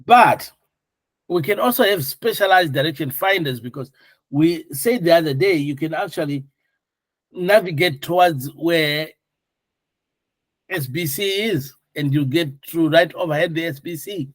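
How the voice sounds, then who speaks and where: A male instructor with a slightly high voice, recorded fairly dry. He lectures in an informal, explanatory way and keeps putting questions to his students to check they follow. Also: unhurried, no echo, rough recording.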